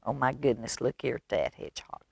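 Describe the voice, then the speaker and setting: A woman reading a picture book aloud for a children's storytime, expressive and deliberate, pausing to ask her young listeners questions. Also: very deep voice, gravelly, husky, no room sound. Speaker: library storytime reader.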